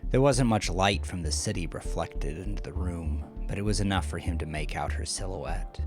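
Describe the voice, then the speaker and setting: A man narrating scripted horror fiction in a slow, dramatic manner, often dropping into breathy near-whispers. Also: low-pitched. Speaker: audiodrama narrator. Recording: music under the voice. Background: music.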